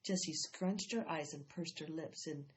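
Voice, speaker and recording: A woman reading a children's chapter book aloud in an expressive, animated way. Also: low voice, unhurried, highs cut off. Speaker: storyteller.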